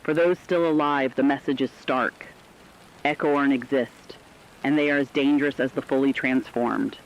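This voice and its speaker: A man narrating a scripted emergency radio broadcast in a grave, measured manner. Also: mid-range voice, fairly even intonation. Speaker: radio announcer. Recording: slightly dull audio with faint rain noise.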